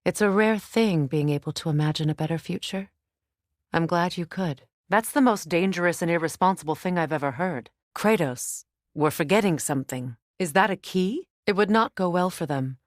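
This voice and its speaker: A woman playing a proud, wounded warrior goddess and queen in a video game, measured, regal and dry. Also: on the low side, lively intonation, no echo, natural voice. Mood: grave, measured. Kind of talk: dramatic video game voice acting.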